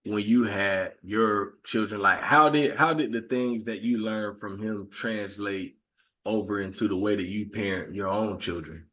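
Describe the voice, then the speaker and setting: A man's voice: fairly low pitch, a little slow, fairly bright tone. A man hosting a podcast interview over a video call. He affirms the guest often with short "yes, sir" replies. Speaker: podcast host. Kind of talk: interview chat.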